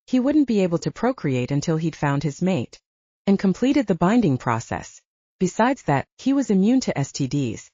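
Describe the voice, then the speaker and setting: A woman narrates fiction in a low-set, husky voice. Her delivery is a scripted audiobook read with plenty of melodic movement. The sound is close and dry. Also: warm tone, no room sound, lo-fi sound.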